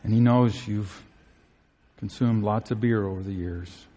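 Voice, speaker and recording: A male pastor preaching an earnest sermon, his voice climbing high to stress key phrases. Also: steady tone, a little slow, warm tone. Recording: long hall reverb.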